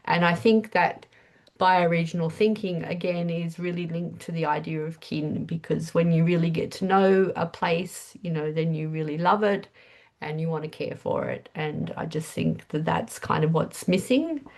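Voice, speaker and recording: A woman's voice: low voice, steady tone, normal speed, a little mellow. An older Australian woman with a cold, sounding nasal, presenting in a chatty way. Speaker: webinar speaker. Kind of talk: casual presentation.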